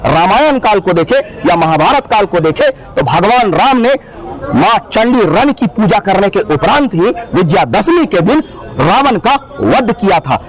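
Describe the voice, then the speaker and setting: High-pitched man explaining earnestly. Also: big pitch swings, a little slow, rough recording. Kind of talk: interview soundbite.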